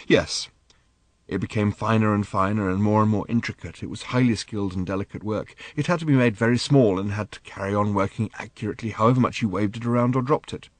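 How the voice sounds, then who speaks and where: A man's voice: on the low side, quick pace, no echo, natural voice. A man reading an audiobook, voicing a mild, bewildered father who explains things hesitantly. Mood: explaining. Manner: hesitant, apologetic, gently explaining.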